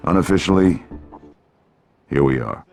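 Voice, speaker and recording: Authoritative older male squadron commander, deep, measured game briefing over prominent music. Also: expressive, creaky, heavily acted. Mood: businesslike. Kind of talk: game cutscene military briefing. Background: loud music.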